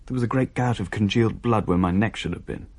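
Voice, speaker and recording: Deep-voiced, haunted man telling a ghost story. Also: fast talker, darkish tone. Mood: horrified, haunted. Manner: hesitant, haunted, dramatic storytelling. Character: shaken man recounting a horrifying ghostly vision. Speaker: narrator and character in radio play. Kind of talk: dramatic radio play narration.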